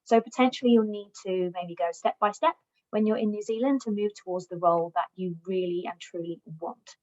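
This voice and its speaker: A woman's voice: mid-range voice, a little fast, darkish tone. A woman giving an encouraging job search presentation to migrants, speaking in a friendly advisory way. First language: English, also Welsh. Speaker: recruitment platform customer success manager giving a job search talk. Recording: dull lo-fi recording.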